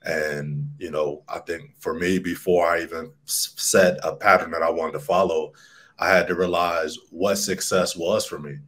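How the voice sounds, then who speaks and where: Male coach guest, deep voice, relaxed reflective tone, video-call sound. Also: normal speed, slightly rough. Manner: relaxed, reflective, low-key. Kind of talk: casual interview answers. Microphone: webcam video call.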